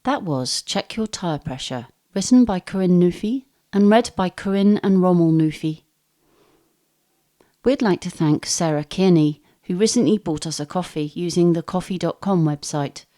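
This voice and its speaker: Lady podcast host reading the outro, lowish soft dark tone. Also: normal speed. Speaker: podcast presenter. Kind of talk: scripted outro announcement.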